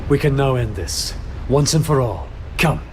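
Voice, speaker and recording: An actor playing a defiant, determined warrior knight in a fantasy game, terse, grave and intense, with noisy effects behind him. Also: male voice, mid-range voice, measured pace, natural voice. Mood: resolute. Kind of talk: dramatic video game acting.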